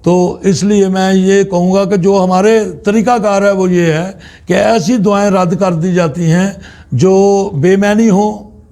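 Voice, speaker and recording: A man preaches in a high, mellow voice with some creak in it. He explains religious practice without a script, pausing often and restating his phrases with deliberate care. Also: fairly even intonation. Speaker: religious teacher, Islamic preacher. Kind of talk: extemporaneous religious teaching talk.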